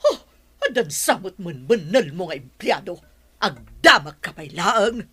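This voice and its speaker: A woman's voice: on the low side, very animated, a little slow, pushed and bright, slightly rough, full character voice. A woman playing a haughty, demanding older mother of the boss in an audio drama, curt and scolding. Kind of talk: Filipino audio drama acting.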